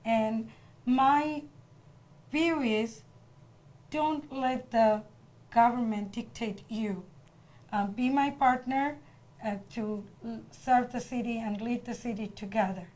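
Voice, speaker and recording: A woman with a Bangladeshi accent answers interview questions as a political candidate, speaking earnestly and a little haltingly. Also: fairly high pitch, a little mellow. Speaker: city council candidate being interviewed.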